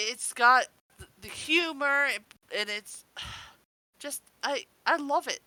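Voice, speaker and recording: A woman chatting casually as a podcast reviewer, gushing and excitable, swearing freely. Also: medium pitch, no echo, low-quality recording.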